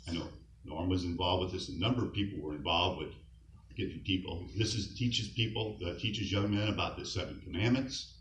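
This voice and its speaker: A man's voice: low voice, fairly quick, fairly bright tone, far from the mic. A middle-aged man, a scout leader, gives an informal eulogy in a conversational way, sharing light anecdotes and chuckling now and then. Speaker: scout leader speaking at a memorial. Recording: echoey hall, speaker off-mic, faint noise.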